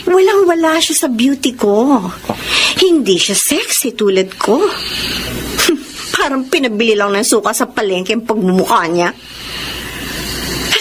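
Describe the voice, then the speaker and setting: A woman's voice: fairly high pitch, background noise. An actress playing a vain, jealous young girlfriend with a suspicious, mocking manner in a Tagalog radio drama. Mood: catty, jealous.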